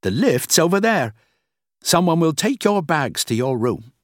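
A man's voice: on the high side, big pitch swings, a little slow, no room sound, studio quality, pitched above the performer's own voice. A man voicing a polite male hotel desk clerk in a story, formal, welcoming and helpful. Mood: welcoming, courteous.

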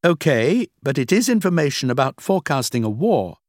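A man's voice: a little high, very animated, fairly quick, dry recording, clean studio sound, voice pushed up. A man voicing a curious, earnest young woman researcher in an audiobook reading. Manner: polite, earnest, questioning.

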